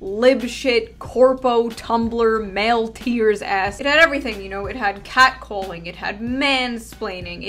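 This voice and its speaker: Sarcastic woman with higher pitch. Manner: ironic, deadpan and crude, with bursts of mock outrage. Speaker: online commentator. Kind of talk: sarcastic video essay commentary. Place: small indoor room with tinsel backdrop. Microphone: large studio mic close in front.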